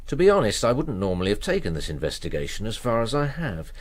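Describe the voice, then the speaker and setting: A British actor playing a shrewd private investigator in a radio drama, measured, hedging and matter-of-fact. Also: male voice, on the low side, very animated. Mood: businesslike. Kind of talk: naturalistic radio drama acting.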